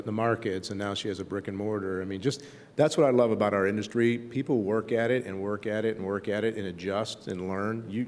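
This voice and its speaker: Low-voiced enthusiastic man on a panel. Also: a little mellow, in a large hall, a little hiss or hum. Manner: enthusiastic, praising, repetitive phrasing. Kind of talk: panel discussion.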